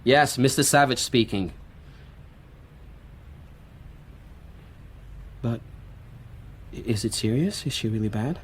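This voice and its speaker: A man's voice: mid-range voice, normal speed, a little hiss or hum, lightly acted. An actor playing an anguished, volatile young man in a radio drama, theatrical and intense in his phrasing. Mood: shaken, subdued. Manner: declamatory, intense, archaic poetic phrasing. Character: anguished, volatile young man, a self-styled savage quoting Shakespeare.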